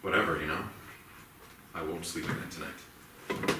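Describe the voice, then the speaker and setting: Haunted policeman, male actor, guilt-ridden and intense, low register, heard from afar in a reverberant theatre. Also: fairly even intonation. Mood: haunted, brooding. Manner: brooding, halting, emotionally intense. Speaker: actor in a staged reading. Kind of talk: dramatic theatrical monologue. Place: indoor theatre stage. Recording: echoey theatre, distant mic, faint noise.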